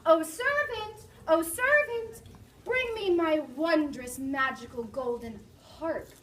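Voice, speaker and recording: A greedy male giant bellows out slow, booming threats, acted by a woman. The voice sits high for him. The sound is roomy and caught from a distance. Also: expressive, a little hiss or hum, exaggerated voice. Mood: demanding. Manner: slow, loud, chanting. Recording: echoey room, mic far off.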